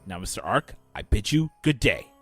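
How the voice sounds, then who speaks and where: A man's voice: fairly low pitch, sing-song, moderate pace, slightly rough, faint music behind. A man playing a cold, short-tempered middle-aged fashion-mogul father in a fan audio drama, with a stern, imperious delivery. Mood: cold, dismissive.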